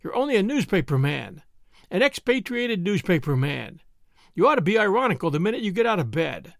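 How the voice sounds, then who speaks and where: A man's voice: sits high, big pitch swings, vocal fry, dry recording, clean studio sound, heavily acted. A man voicing a teasing, exuberant male friend in an audiobook, needling in a mock-grandiose way. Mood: exuberant ranting. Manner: mock-grandiose, needling, rapid-fire exclamations. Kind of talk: audiobook character dialogue.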